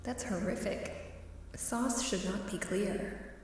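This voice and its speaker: Slow, echoey, soft-whispering ASMR woman. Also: mid-range voice. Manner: soft-spoken, often whispering; playful, coy. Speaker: ASMR live streamer. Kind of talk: ASMR casual chat with whispering. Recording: reverberant hall-like echo, faint hiss, distant.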